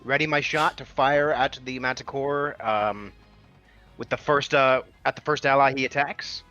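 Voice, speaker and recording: Bright-voiced man on a dull mic. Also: mid-range voice, fairly even intonation, moderate pace. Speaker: player in a tabletop roleplaying game. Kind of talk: casual explanation of game moves. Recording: dull lo-fi mic, background music.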